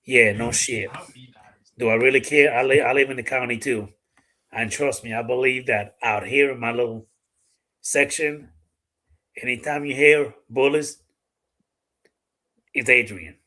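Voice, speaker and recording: A man's voice: medium pitch, steady tone, unhurried, long pauses, sharp tone. A middle-aged man from the Dominican Republic with a Dominican accent, hosting a casual live chat, talking bluntly and swearing freely. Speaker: livestream host.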